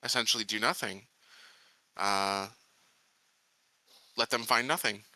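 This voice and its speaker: Very bright, forceful-toned man, tentative phrasing. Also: on the low side, slow, no echo. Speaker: tabletop roleplaying game participant. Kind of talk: improvised in-character tabletop dialogue.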